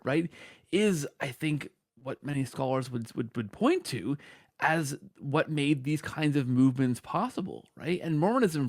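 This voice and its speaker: A man speaks as a podcast host in a fairly high, creaky voice, recorded close and dry. He discusses conversationally and emphatically, punctuating his points with little check-in questions. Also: dry recording. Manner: emphatic, often tags phrases with "right?".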